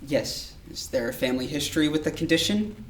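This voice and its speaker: A low-pitched, patient female child psychiatrist acted by a woman in a staged drama. She speaks in a measured, reassuring, professional way. The sound is distant, with strong room echo. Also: expressive. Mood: matter-of-fact. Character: calm, patient child psychiatrist questioning a young patient. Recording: distant, echoey room recording.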